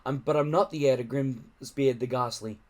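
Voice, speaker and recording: A man's voice: medium pitch, normal speed, darkish tone, natural voice. A man voicing an earnest, reasonable young Viking boy, a reluctant storybook hero. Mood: protesting.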